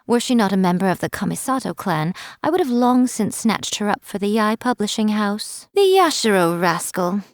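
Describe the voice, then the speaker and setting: A woman's voice: mid-range voice, animated, moderate pace, few pauses, dry recording, studio quality, close to an everyday voice. A woman voicing a sly, teasing fox-spirit shrine priestess, speaking in a playful, wry, mocking tone. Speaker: game character. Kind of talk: scripted game voice lines.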